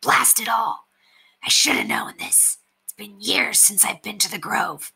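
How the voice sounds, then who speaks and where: A woman voicing a gruff, impatient, sardonic male bandit chief who grumbles and commands in a storybook. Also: medium pitch, expressive, measured pace, bright, forceful tone, in a whisper, voice pushed up, heavily acted. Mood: urgent, frustrated.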